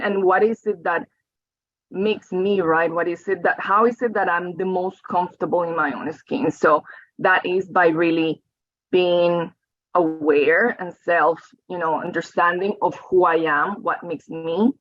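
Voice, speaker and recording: A woman's voice: lowish voice, no echo. A woman, giving a reflective talk over slides and often checking in with "right?" and "you know". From Colombia. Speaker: guest speaker. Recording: dry, slightly dull audio.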